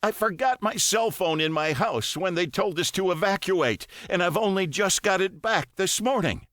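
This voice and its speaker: A man's voice: sits high, normal speed, fairly bright tone, dry recording, clean studio sound, voice pushed up. A man voicing a worried adult son in an audiobook, urgent, anxious and apologetic. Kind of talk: audiobook character dialogue.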